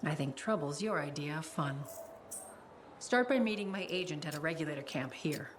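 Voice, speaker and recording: A blunt spy boss, deep for a woman, bit of hiss. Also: animated, moderate pace. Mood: businesslike. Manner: terse, matter-of-fact. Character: guarded female spy handler, businesslike. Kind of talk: video game dialogue.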